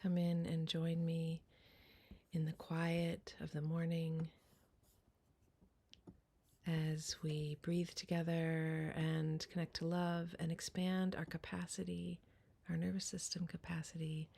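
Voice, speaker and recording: Slow, low-voiced woman guiding meditation. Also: gravelly, dark tone, slightly rough. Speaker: guided meditation teacher. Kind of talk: guided meditation.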